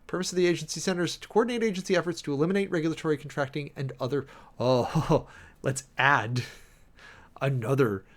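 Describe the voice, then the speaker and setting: A man's voice: on the high side, fairly quick. Wry man reading aloud with running commentary. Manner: wry, sardonic asides. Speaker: streamer and preacher giving commentary.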